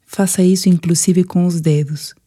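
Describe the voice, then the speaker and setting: A Brazilian woman narrating a scripted guided sleep meditation in a soothing, gentle, unhurried manner. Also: on the low side, steady tone, breathy edge.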